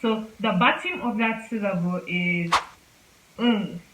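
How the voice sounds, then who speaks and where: A woman's voice: medium pitch, slow pace, echoey room, background noise. A woman hosting her own channel, giving a casual lesson on pronunciation and repeating sounds for practice. Korean is not her first language. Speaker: language teacher, channel host.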